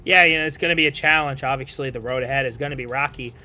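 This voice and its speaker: Bright, chatty male esports caster. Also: medium pitch, rapid-fire, faint music behind. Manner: chatty recap with many "you know" fillers. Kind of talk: live esports commentary.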